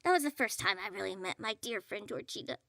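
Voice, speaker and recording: A woman voicing an excitable, dim, self-important internet sprite in an audio comedy, rambling in mock solemnity. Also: mid-range voice, fast, creaky, no room sound. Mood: mock-grieving. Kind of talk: audio comedy sketch acting.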